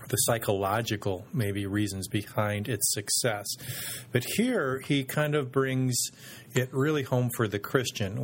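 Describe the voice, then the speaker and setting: A man hosting a podcast in casual chat, relaxed and often pausing with ums and restarts. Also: mid-range voice, a little hiss or hum. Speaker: podcast host.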